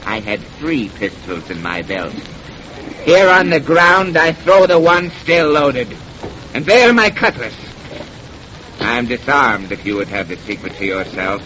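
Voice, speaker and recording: An actor playing a sly, mocking, commanding pirate captain in a theatrical old radio drama style, silky and sardonic. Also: male voice, medium pitch, expressive, measured pace, bright, forceful tone. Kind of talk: old-time radio horror drama acting. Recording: muffled, noisy old radio recording.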